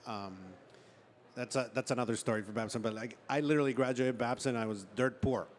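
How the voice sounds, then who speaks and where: A man from Ecuador talking as a startup founder being interviewed, telling stories in an informal, halting way with frequent check-in words. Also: medium pitch. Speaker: startup founder and chief executive, interview guest. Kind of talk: conversational storytelling in an on-stage interview. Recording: noticeable background noise, room ambience.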